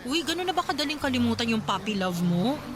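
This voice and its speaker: A woman's voice: on the high side, very animated, quick pace, background noise. An actress playing a playful, cheeky young woman who teases and exclaims in a radio drama. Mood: teasing. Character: teasing, cheeky young woman, the heroine's cousin. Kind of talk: Tagalog radio drama acting.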